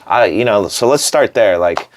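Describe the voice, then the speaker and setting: A man's voice: on the low side, animated, normal speed, slight background noise. A man hosting a casual interview, talking informally and often chiming in with short agreeing interjections. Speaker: podcast host. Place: recording studio.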